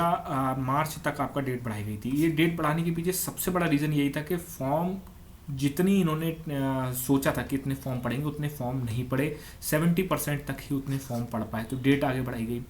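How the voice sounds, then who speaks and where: Male exam coach, fairly high voice, conversational explainer, repeats key points, faint background noise. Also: a little fast. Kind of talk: informal explainer for exam aspirants.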